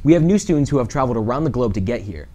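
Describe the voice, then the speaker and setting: A woman's voice: a bass voice, expressive, rapid-fire, warm tone, a little hiss or hum. A teenage girl, a student news anchor, reading announcements from a script. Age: teens. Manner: read-aloud.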